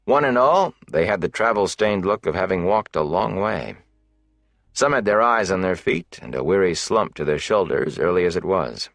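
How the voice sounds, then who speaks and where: A man's voice: deep voice, lively intonation, normal speed, creaky, a bit bright, no room sound. A man narrating a fantasy novel as a scripted audiobook reading.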